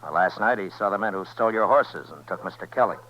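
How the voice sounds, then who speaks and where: A man playing a steady, courteous, laconic lawman in a 1950s radio Western. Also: low voice, fast talker. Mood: probing. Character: steady, courteous, laconic US Marshal in the Old West. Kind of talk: 1950s radio Western acting and first-person narration. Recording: muffled 1950s radio recording.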